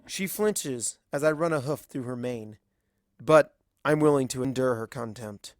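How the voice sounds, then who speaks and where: A man's voice: medium pitch, a little slow, no room sound, clean studio sound. A man reading a story aloud as a first-person narrator, in scripted narration. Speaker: fan fiction reader.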